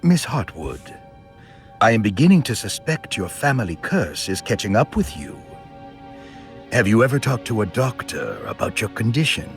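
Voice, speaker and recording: An actor playing a composed, probing doctor, measured, formal and condescending, in a video game drama. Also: male voice, lowish voice, big pitch swings. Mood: calm, suspicious. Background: music.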